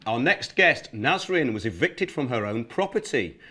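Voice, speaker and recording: A man's voice: mid-range voice, very animated, normal speed, sharp tone, husky, soft background music. A man presenting a show, introducing guests and explaining cases. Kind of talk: presenting and interviewing.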